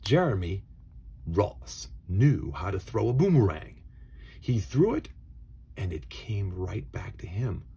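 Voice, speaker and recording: A man reading a children's storybook aloud in a dramatic, expressive way, moving between hushed asides and emphatic phrases. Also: on the low side, takes it slowly, creaky, breathy edge. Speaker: storybook reader.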